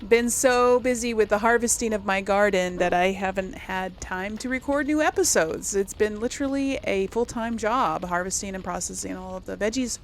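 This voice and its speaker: Woman, creaky voice, casual gaming commentary, rambling and self-correcting, slightly noisy recording. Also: medium pitch, moderate pace, few pauses. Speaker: gaming video host. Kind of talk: casual chat, let's play commentary.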